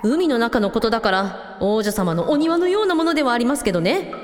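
A woman playing a practical, gently inquisitive mother, casual and thinking aloud, in a radio drama. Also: fairly high pitch, animated, a little fast, lightly acted. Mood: thoughtful. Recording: echoey hall reverb, faint music behind.

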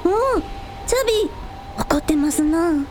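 A woman's voice: high-pitched, lively intonation, a little slow, darkish tone, a little hiss or hum. A woman playing a spirited, protective young idol in a radio drama, with a girlish, informal way of talking. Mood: excited, wry.

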